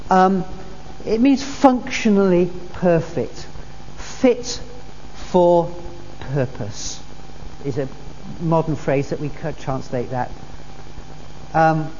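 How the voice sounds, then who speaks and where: A woman's voice: fairly low pitch, dark tone. A woman preacher delivering a sermon, earnest and repeating her key phrases for emphasis. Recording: dull recording in a reverberant hall with faint noise.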